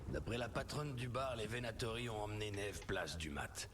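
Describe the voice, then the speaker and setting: Guy playing a wry gruff fantasy party leader in a game, voice moves a lot, really noisy behind. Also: fairly low pitch, animated, normal speed, natural voice. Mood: commanding. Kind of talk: video game dialogue acting. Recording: loud game sound effects behind.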